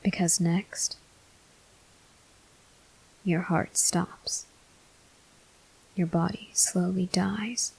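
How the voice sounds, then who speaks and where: A woman voicing a brooding, weary male vampire soldier in an ASMR roleplay, in a breathy, husky whisper with slow, grave delivery. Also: lowish voice, fairly even intonation, darkish tone, exaggerated voice, rough recording. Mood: bleak, brooding.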